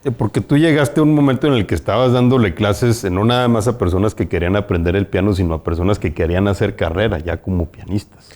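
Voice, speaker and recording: Guy interviewing, lowish relaxed voice, long rambling questions. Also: fast. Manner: long, winding questions with fillers. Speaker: interview host.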